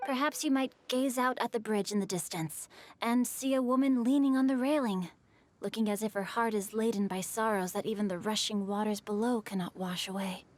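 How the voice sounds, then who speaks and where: A woman's voice: medium pitch, brisk, darkish tone, soft background music, close to an everyday voice. A woman voicing a refined, bookish and mischievous young boy poet in a video game, speaking eloquently and with composure. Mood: poised, eloquent. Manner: eloquent, composed, literary phrasing.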